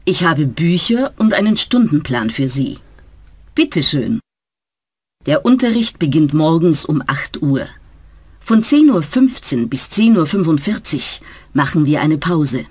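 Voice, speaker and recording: A woman voicing a friendly German language teacher in a scripted learner dialogue, speaking clearly and carefully. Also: low-pitched, very animated, a little slow, a little mellow, dry recording, full character voice. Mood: welcoming.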